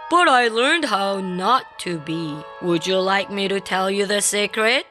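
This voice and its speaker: A woman voicing a kindly male tramp in a storybook, speaking in a gentle, soothing, reassuring way. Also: mid-range voice, animated, unhurried, soft background music, pitched below the performer's own voice. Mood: calm, reassuring.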